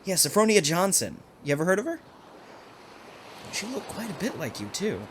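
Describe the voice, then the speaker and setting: A man's voice: sits high, wildly swooping pitch, moderate pace, noisy background. An actor playing a folksy, forward hotel keeper courting a woman, chatty and drawling, in old-time radio drama style. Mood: folksy, intrigued. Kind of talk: 1940s radio acting, dialogue.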